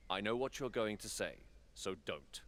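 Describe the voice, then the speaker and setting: A man playing a worried lord of a fantasy council in a video game, measured, formal and guarded. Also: on the low side, expressive, a bit bright. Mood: guarded, weary.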